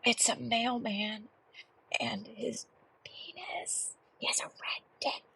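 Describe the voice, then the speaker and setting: A woman playing an anxious, prudish, suspicious caller to a comic advice show, speaking softly and hesitantly with earnest, dramatic pauses. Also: on the high side, fairly even intonation, gravelly, husky, no echo, in a whisper, exaggerated voice, poor audio. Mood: shocked. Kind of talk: improvised comic call-in radio show.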